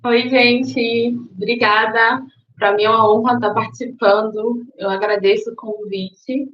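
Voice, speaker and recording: A woman's voice: a little high, steady tone, measured pace. Young woman from Salvador, thoughtful guest. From Salvador, Bahia, Brazil. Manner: thoughtful, with hesitations and restarts. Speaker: guest, book influencer. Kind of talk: casual interview answers. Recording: echoey, dull lo-fi recording.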